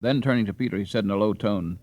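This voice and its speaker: A man reading a story aloud as an audiobook narrator, in an expressive scripted style. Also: fairly low pitch, fast, dry recording, dull audio.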